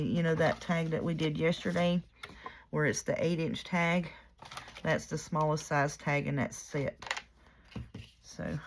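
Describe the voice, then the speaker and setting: A hoarse woman, chatting casually and rambling softly as she works through a crafting project. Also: low-pitched, a little hiss or hum. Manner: soft-spoken and rambling. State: hoarse from a cold. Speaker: craft vlogger.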